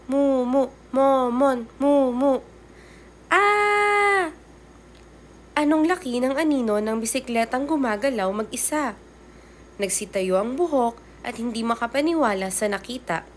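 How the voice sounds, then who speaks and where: A woman's voice: a little high, lively intonation, moderate pace. A woman teacher reading a children's storybook aloud, expressively and directly to young listeners. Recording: clear background noise.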